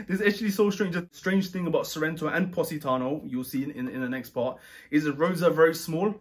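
A man chatting casually about a trip, rambling and relaxed with many fillers and restarts. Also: fairly high pitch, fast talker. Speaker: travel vlogger. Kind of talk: casual chat.

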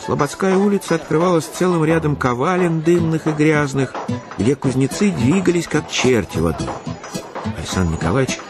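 An actor reading as the author-narrator of a literary period tale in a radio play. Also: male voice, on the high side, expressive, moderate pace, over music, close to an everyday voice. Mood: measured storytelling.

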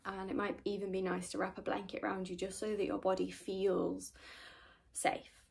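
Soft-spoken woman guiding meditation, creaky voice. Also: medium pitch, completely flat delivery, normal speed. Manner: gentle, soothing, often trailing into breathy whispers. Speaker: meditation guide.

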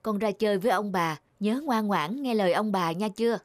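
A woman playing a caring, firm mother who instructs her child in an animated film. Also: medium pitch, fairly quick, lightly acted. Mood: gently admonishing. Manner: instructive, admonishing. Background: music.

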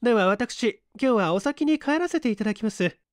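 A man's voice: very high-pitched, animated, a little fast, dry recording, clean studio sound, pitched above the performer's own voice. A man voicing a prim, formal, ladylike young female secretary in an audiobook mystery story. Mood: prim, businesslike. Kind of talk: acted audiobook dialogue.

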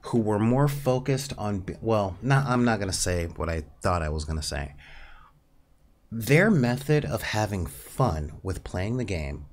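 Unhurried, nasal man in his forties, low-pitched, talking casually into a desk mic. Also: darkish tone. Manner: unhurried, thoughtful, with many pauses and fillers. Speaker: livestream host. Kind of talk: casual livestream talk about tabletop gaming. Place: small home studio with a neon backdrop. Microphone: studio mic on a desk stand.

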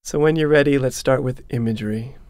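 A man narrating a guided meditation in a soothing, measured, instructional manner. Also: medium pitch, a little mellow, dry recording, studio quality. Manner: soothing, measured, instructional, repeats phrases slowly. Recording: prominent meditation music with singing bowls. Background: meditation music, singing bowl tones.

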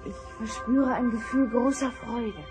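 An actress playing a gentle, awed empath starship officer in a radio play, with a hushed, emotional delivery. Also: female voice, a little high, measured pace, over music, close to an everyday voice.

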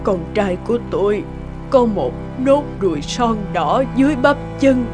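A woman's voice: a little high, animated, unhurried, over music. A woman playing a frail, tearful old village mother in a cartoon, with a trembling, emotional delivery. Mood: anxious, hopeful. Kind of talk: cartoon voice acting.

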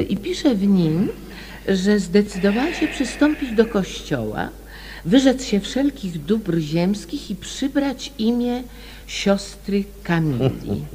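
A woman's voice: medium pitch, warm tone, slight background noise. An actress playing a polite, persistent lady amateur sleuth in a radio drama, courteous and a little hesitant as she makes inquiries.

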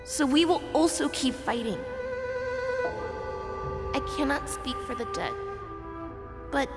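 A young warrior girl in a fantasy game, earnest and unbending. Her high voice is solemn and unhurried, carried in long reverb with music underneath. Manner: solemn, deliberate, determined. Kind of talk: dramatic game voice acting.

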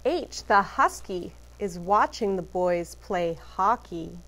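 A woman teacher reading a picture book aloud to children, deliberately emphasizing letters and phrases. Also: mid-range voice, lively intonation, fairly bright tone.

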